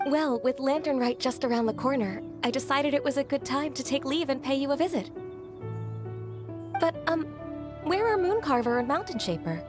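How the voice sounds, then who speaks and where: Shy, high-voiced young woman. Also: lively intonation, moderate pace, music in the background. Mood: hesitant. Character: shy, gentle young female secretary, hesitant, polite. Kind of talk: video game voice acting.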